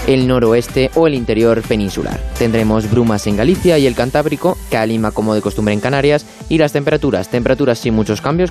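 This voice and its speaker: A man presents the radio weather, briskly reading out lists of temperatures and trading light remarks with the host. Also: medium pitch, darkish tone. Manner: brisk, reads lists of figures. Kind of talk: radio weather report with banter.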